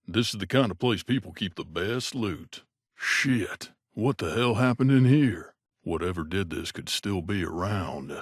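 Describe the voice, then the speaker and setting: A low, dry-recorded male voice for a wasteland mercenary. He is a gruff, grumbling game companion with a wry, conversational delivery. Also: no echo. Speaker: video game voice actor. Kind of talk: game character voice lines.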